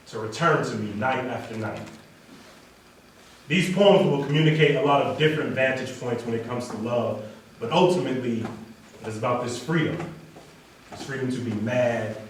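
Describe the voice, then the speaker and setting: A man speaking as a wedding reader, introducing and reciting poetry to an audience. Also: medium pitch. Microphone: distant from speaker. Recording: echoey room, distant mic, faint noise.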